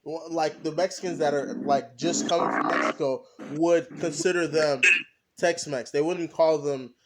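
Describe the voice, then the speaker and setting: A young man co-hosting a podcast, talking casually and haltingly, often stopping to search for his words. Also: fairly high pitch, fairly even intonation, noisy background. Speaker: podcast co-host. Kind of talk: casual discussion.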